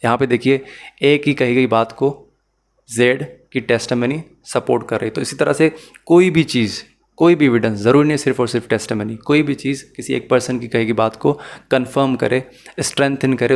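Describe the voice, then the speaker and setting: A man's voice: medium pitch, creaky. A man with a Pakistani accent lecturing as a law teacher, explaining methodically, rephrasing key points and mixing in English terms.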